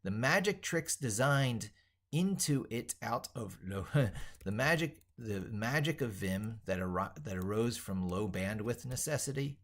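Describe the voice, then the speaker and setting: A man with a fairly low voice talks through an opinionated tech monologue as an educator. He states things emphatically, then repeats and reworks the wording as if composing it out loud. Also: animated, a little slow. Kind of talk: drafting and reading aloud an opinionated monologue while typing.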